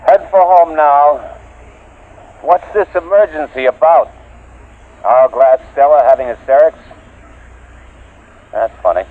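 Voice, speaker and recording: An actor playing a field surveyor on a space expedition in an old-time radio drama, in a tinny recording. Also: male voice, a little high, a little slow, long pauses, lightly acted. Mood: relaxed, puzzled. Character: laid-back spaceman field surveyor, turning to terrified victim.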